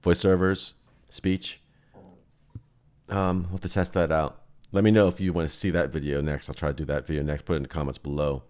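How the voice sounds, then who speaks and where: Very low-voiced man, casual demo. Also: level tone, a little fast, a little mellow. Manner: loose, rambling, thinking aloud. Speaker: plugin reviewer and host. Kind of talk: casual software demo commentary.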